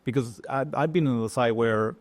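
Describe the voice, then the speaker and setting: A middle-aged man from Japan with a Japanese accent, a chef talking as a guest in an interview, pausing often and restarting his sentences. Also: medium pitch, no echo. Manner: halting, many fillers and restarts. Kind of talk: casual interview talk.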